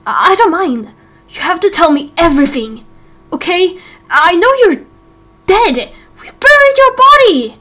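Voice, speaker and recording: Woman as an earnest, bright-voiced young sailor man. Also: very high-pitched, sing-song, unhurried, exaggerated voice. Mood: astonished. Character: excitable, caring young sailor man, old friend. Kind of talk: Minecraft roleplay voice acting.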